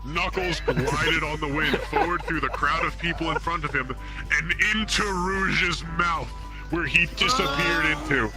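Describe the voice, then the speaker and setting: A man's voice: fairly high pitch, unhurried, rough recording. A man reading a comic story aloud as a dramatic narrator, with a mock-serious manner. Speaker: amateur reader of comic fan fiction. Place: online virtual reality hangout. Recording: music playing behind the voice.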